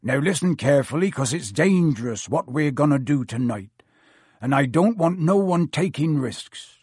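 A man voicing a big gruff gamekeeper who talks bluntly with country words. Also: fairly high pitch, expressive, normal speed, darkish tone, no room sound, clean studio sound. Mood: stern.

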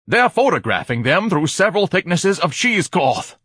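A man voicing a pompous, melodramatic man who splutters with grandiose indignation, in a husky audiobook character voice. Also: sits high, sing-song, moderate pace, a bit bright, dry recording, clean studio sound, pitched above the performer's own voice, exaggerated voice. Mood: spluttering outrage. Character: pompous, hysterically outraged man ranting at the world.

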